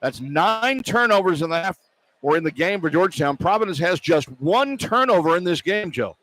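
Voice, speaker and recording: A man's voice: high voice, expressive, moderate pace, dry recording. A man calling live basketball play-by-play on the radio, describing the action in clipped running phrases. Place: large indoor basketball arena. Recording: noisy live arena broadcast. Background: loud arena ambience.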